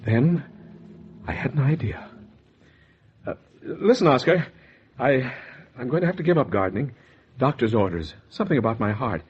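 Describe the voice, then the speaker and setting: Anxious guilty american husband on old muffled radio noir, husky, hesitant, stammers. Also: male voice, medium pitch, animated, normal speed, long pauses. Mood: feigned reluctance. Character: anxious guilty husband, secretive murderer, narrating his crime. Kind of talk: 1940s radio noir acting. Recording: dull, muffled vintage 1940s radio recording.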